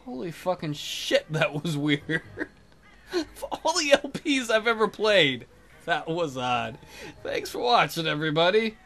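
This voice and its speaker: Animated, high-pitched male let's play host. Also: a little slow, creaky. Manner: sarcastic, incredulous asides. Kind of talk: reading a visual novel with comic commentary.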